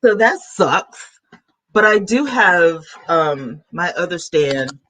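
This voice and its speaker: A woman YouTuber, chatting excitedly to her viewers from home while she sets up a new microphone. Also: fairly low pitch, takes it slowly, poor audio. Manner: chatty, excitable, talks to chat. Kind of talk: casual vlog chat to viewers. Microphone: camera mic, then a new plug-in USB mic.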